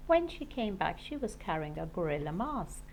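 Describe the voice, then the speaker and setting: A woman's voice: on the low side, big pitch swings, moderate pace, a little mellow, a little hiss or hum, rough recording. A woman reading a children's story aloud expressively, like a class teacher.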